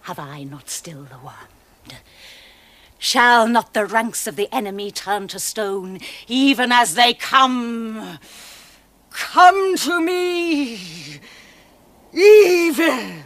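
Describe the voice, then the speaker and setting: Casting: witch queen, evil and volatile, slow grandiose delivery with huge swoops. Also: on the high side, expressive, heavily acted. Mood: frenzied summoning. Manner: grandiose, incantatory, swinging from hushed to shrieking. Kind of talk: theatrical fantasy drama acting. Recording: faint background noise.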